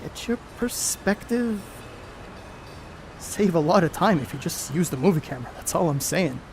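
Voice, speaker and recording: Male actor as boastful 1930s ballplayer, high voice, folksy needling slang, amateur stage play, faint background noise. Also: lively intonation, normal speed, darkish tone, natural voice. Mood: indignant, insistent. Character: Babe Ruth, brash, boastful, crude, star ballplayer.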